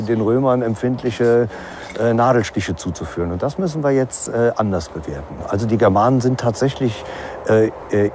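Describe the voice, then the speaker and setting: A man's voice: mid-range voice, a little mellow, a little hiss or hum. A man giving an unscripted interview as an archaeologist, searching for his words as he explains. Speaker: archaeologist, excavation director.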